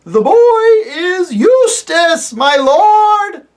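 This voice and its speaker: A reader voicing a flustered owl courtier who hoots and shouts with strain, in a storybook reading. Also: high voice, wildly swooping pitch, drawn-out words, pitched above the performer's own voice, exaggerated voice. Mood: shouting, flustered.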